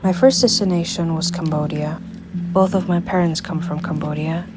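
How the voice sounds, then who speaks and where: A young woman from Germany narrates a voice-over in a low, very flat voice, calm and reflective. Loud music plays underneath throughout. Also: normal speed. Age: young adult.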